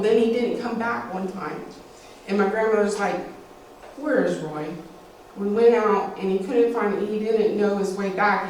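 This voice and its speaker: A woman's voice: medium pitch, a little mellow. Middle aged lady telling family stories, super echoey hall, mic kinda far off. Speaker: speaker sharing family memories. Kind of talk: informal storytelling at a community talk. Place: small meeting hall, indoors. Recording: roomy, distant mic, faint noise.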